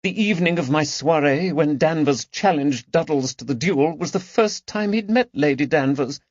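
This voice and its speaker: A man voicing a distressed aristocratic woman in her forties who is prone to drama, in a high, trembling, theatrical voice. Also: normal speed, dry recording, lightly acted. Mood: bitter, distressed. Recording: slightly dull dry recording.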